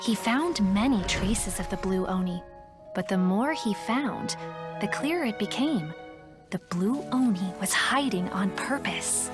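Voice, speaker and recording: A woman playing a gentle, measured storybook narrator telling a folk tale in a video game. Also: mid-range voice, expressive, close to an everyday voice. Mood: gentle, wistful. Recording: clear music behind narration.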